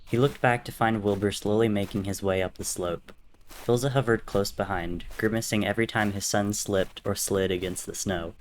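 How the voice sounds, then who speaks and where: Low voiced guy narrating a dramatic story, bit of ambience behind him. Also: fairly even intonation, normal speed, a little hiss or hum, low-quality recording. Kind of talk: dramatic scripted narration of fan fiction. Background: soft music or ambience.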